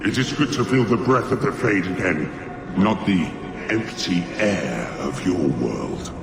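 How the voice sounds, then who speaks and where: Grave, gravelly spirit of justice. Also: male voice, sits low, lively intonation, unhurried, heavily acted. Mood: grave, stern. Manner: grave, formal, commanding. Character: solemn male spirit of justice in a fantasy game. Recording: echoing voice over noisy ambience.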